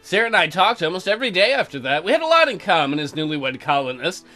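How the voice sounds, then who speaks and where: A man's voice: high voice, big pitch swings, quick pace, pushed and bright, soft background music. A man hosting a let's play, mixing crude, mocking commentary with reading game text aloud.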